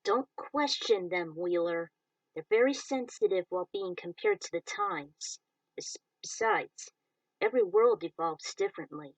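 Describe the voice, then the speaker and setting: A woman's voice: lowish voice, unhurried, no echo, recorded from a distance, low-quality recording. A woman voicing a firm, knowing female pilot who explains things sternly to cadets in a story. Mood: firm, instructive. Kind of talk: story character dialogue.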